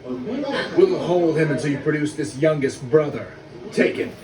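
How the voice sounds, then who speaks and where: A man voicing a stern, bitter young lord of Egypt in an animated film, questioning and accusing in a commanding manner. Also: fairly high pitch, a little slow, crowd noise. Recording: roomy, distant, noisy film soundtrack rip.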